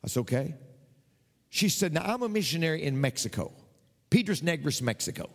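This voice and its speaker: Male pastor, lively high voice, long hall reverb, storytelling preacher. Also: a little fast, vocal fry. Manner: animated, builds to shouted preaching. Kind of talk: sermon storytelling.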